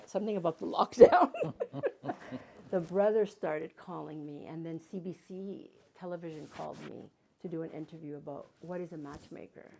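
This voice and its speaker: Older woman, guest speaker. Lower voice, chatty, tells stories with playful asides. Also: creaky, dark tone. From Canada. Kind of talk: casual talk to a live audience.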